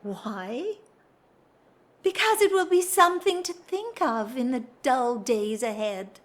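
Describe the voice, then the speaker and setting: Slow, high-voiced, wistful society lady, played by an actress. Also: lively intonation. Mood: fervent confession. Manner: formal, earnest, theatrical, measured delivery. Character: Lady Gertrude, wistful, dignified married society woman in love. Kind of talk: period audio drama acting.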